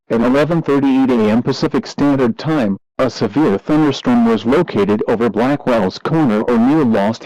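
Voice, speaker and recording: Guy doing weather radio alert readout, formal n impersonal, medium pitch, totally dry. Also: a little mellow, no echo, dull audio. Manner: impersonal, measured, list-like.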